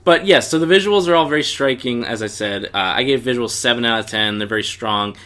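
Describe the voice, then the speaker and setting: A man with a notably bright, forward voice and mid-range pitch talks as a podcast co-host. He gives his opinions in a casual, conversational review style. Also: fairly quick.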